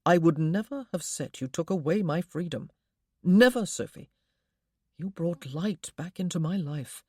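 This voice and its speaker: A woman's voice: fairly low pitch, animated, measured pace, warm tone, breathy edge, no room sound, clean studio sound. A woman voicing a devoted young husband in a storybook, speaking emphatically and earnestly.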